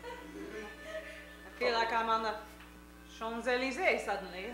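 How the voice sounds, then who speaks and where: A woman's voice: on the high side, very animated, takes it slowly. Woman playing haughty heiress, echoey stage. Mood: indignant, wavering. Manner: dramatic, scornful, indignant. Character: haughty, exasperated young heiress. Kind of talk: comic live radio play. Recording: echoey hall with music behind.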